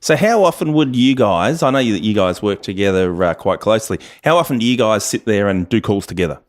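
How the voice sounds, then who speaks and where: A man speaks in a fairly low voice. He is an interview host, asking questions in a conversational way. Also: expressive, a little fast.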